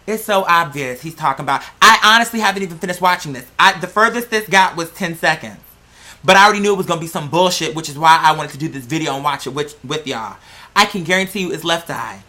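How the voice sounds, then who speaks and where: Bright-voiced woman, blunt and exasperated. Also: on the low side. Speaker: vlogger reacting to a video. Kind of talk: casual reaction commentary. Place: indoors at a computer. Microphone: plug-in computer microphone.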